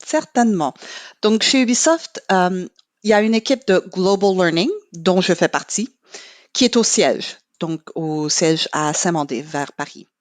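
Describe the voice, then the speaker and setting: A middle-aged woman whose first language is English, a podcast guest explaining her work in a measured way with many pauses. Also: fairly low pitch, lively intonation, no room sound. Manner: measured, with frequent "euh" pauses. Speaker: guest, training marketing manager. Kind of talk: podcast interview, explaining at length.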